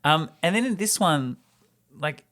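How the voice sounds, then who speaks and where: A man hosting a film show, giving casual commentary and an animated, slangy recap of a story. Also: fairly high pitch, unhurried. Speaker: host of a film report show.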